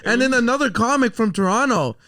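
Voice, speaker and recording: A man co-hosting a podcast who asks his questions in a halting way, restarting as he goes. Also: very high-pitched, lively intonation, crowd noise. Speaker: podcast co-host. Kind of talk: casual podcast chat.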